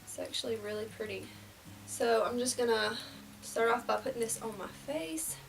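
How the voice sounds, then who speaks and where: A young woman in her twenties, slightly nasal from a cold, chatting casually through a get-ready-with-me beauty vlog and dropping to soft half-whispers as she works. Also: fairly high pitch, normal speed, creaky, far from the mic, soft background music, rough recording. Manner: casual and rambling, drops to near-whispers while applying makeup. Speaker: beauty vlogger. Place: small indoor room before a plain backdrop.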